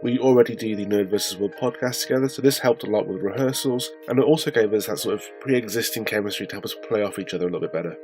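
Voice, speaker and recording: A man's voice: fairly low pitch, quick pace, few pauses, a little mellow, soft background music. A man from a cast reading scripted behind-the-scenes notes. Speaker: cast member.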